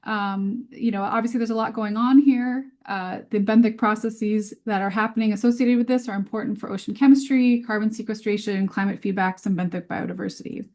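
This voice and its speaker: A woman's voice: medium pitch, steady tone, brisk, few pauses, darkish tone. Woman giving an informal science webinar. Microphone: video call.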